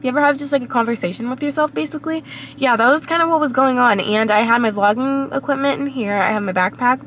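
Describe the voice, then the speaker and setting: A young woman talks to her camera from inside a parked car, her voice hoarse and raspy from a cold. She sits a little high in pitch and rambles in a reflective way, full of fillers. Faint car and street noise hums behind her. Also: fast, fairly bright tone. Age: young adult. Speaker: vlogger. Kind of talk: casual vlog monologue.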